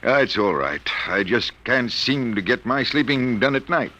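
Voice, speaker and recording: An actor playing an irritable, troubled middle-aged American college professor in a hesitant, muttering, old-time radio drama style. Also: male voice, medium pitch, lively intonation, moderate pace, pushed and bright, slight background noise. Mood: weary, apologetic. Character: absent-minded, troubled, irritable male college psychology professor. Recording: muffled vintage radio recording.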